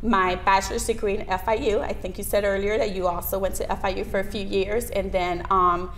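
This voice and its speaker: A woman's voice: mid-range voice, level tone, a little fast, fairly bright tone. Woman, noisy studio recording. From Miami, United States. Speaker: interview guest, lawyer. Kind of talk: conversational interview answers. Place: small indoor video studio, seated at desk. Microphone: lapel mic.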